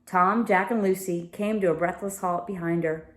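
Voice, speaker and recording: Woman reading aloud, echoey room. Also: fairly low pitch. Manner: expressive, pausing to correct and comment. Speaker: teacher reading a book aloud. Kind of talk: read-aloud storytelling with casual asides. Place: home sunroom. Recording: roomy indoor echo.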